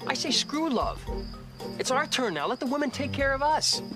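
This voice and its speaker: An actor playing a fed-up, cynical schemer in a film comedy, talking persuasively and sardonically. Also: male voice, very high voice, very animated, moderate pace, music in the background. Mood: fired up, persuasive.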